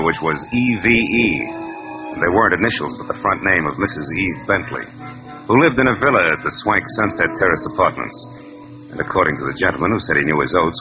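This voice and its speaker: A tough, wry gumshoe from a vintage radio noir. His low voice rises and falls vividly as he delivers sardonic, hardboiled lines. The old recording sounds muffled, with music behind it. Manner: wisecracking, sardonic, clipped. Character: wry, tough, world-weary male private detective. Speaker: lead actor and narrator. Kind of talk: 1940s hardboiled radio narration and acting.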